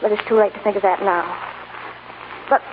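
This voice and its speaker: A woman's voice: medium pitch, fairly even intonation, a little fast, narrow, tinny audio, lightly acted. A young film star wife, played by a woman in a 1940s radio melodrama. She is heartfelt, earnest and self-sacrificing. The old broadcast sounds thin and noisy. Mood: resolute, sorrowful.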